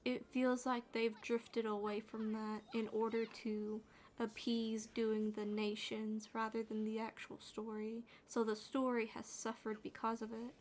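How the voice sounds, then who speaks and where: A soft-spoken woman chats in a hushed, soothing voice, rambling and restarting as she plays a game. Her pitch sits fairly high but barely moves. A faint hiss of noise runs under the close mic. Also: little pitch movement, a little slow, few pauses. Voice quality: naturally low, quiet and soothing. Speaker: gaming streamer. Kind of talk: soft-spoken gaming chat.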